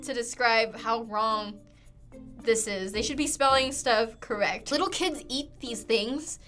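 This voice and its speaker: A woman's voice: on the high side, measured pace, a bit bright. A young woman with an American accent talking casually as a college student reacting to things. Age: young adult. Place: small indoor studio set. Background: faint music.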